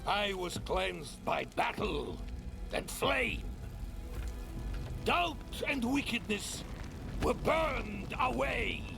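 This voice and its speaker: A zealous holy knight in a fantasy game, with heavy gravel in a raised, strained register. He declaims very slowly and solemnly, swinging in pitch. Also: lively intonation, exaggerated voice. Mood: solemn fervor. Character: zealous battle-scarred male holy knight. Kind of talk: cinematic fantasy game acting. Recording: music behind.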